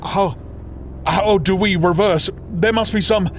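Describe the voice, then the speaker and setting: Man as a frantic high-pitched hawk guardian, crying out. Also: a little slow, a little hiss or hum. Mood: anguished, frantic. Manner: declamatory, pleading. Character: frantic, commanding male giant hawk guardian. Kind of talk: fantasy audiobook character acting.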